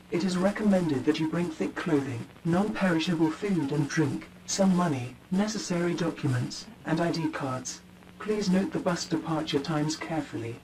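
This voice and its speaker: A man reading a formal emergency broadcast in an even, official manner. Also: a little high, slight background noise, rough recording. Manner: even, measured, official delivery. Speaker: emergency announcer.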